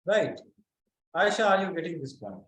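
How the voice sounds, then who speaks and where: A man teaching a physics class online, speaking haltingly and calling on students by name to check that they follow. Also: sits high, sing-song, fairly bright tone, poor audio.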